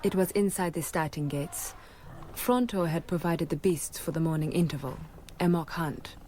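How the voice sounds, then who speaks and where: A dry, worldly snake dancer, played by an actress in a radio drama. Her voice sits on the low side, and she talks in a teasing, matter-of-fact way. A faint hiss runs under the recording. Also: normal speed, mellow, lightly acted. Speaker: circus performer.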